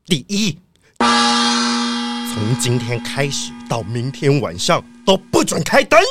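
A slow, theatrical mystic master, wildly swooping. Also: mid-range voice, very animated. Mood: solemn, commanding. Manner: chanting, drawn-out, exclamatory proclamations. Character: theatrical self-styled master who claims to speak with plants. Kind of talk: children's audio drama acting. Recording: music bed under the voice. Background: loud music.

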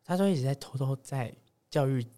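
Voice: medium pitch, brisk, warm tone. A podcast host with a Taiwanese Mandarin accent, chatting casually in a playful, teasing way. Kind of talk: casual chat.

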